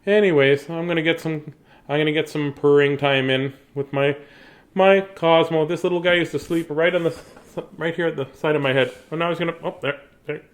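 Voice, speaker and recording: A man with a high-pitched voice hosts a casual live story session. He talks in a chatty, rambling way and pokes fun at himself. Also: fairly even intonation, fairly quick. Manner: rambling, chatty, self-deprecating. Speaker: bedtime story reader and host. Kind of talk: casual live chat to viewers.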